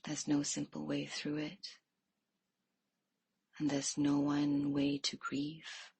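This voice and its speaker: A woman leading a guided meditation in a soft, hushed way, leaving pauses between phrases. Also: low-pitched, creaky, breathy edge, dry recording.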